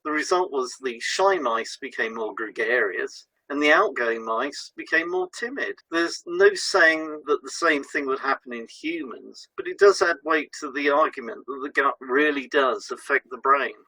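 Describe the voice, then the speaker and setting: A man's voice: medium pitch, normal speed, few pauses, bright, forceful tone, slightly rough, no room sound, rough recording. A man co-hosting an educational podcast, explaining from a script. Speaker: podcast co-host and hypnotherapist.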